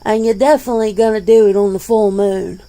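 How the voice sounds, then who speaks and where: Casual werewolf interviewee for ASMR roleplay, female performer: dark, relaxed tone, gravelly edge, plain-spoken and folksy. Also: medium pitch, brisk, lightly acted. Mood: frank, folksy. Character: laid-back, plain-spoken werewolf being interviewed, peace-minded.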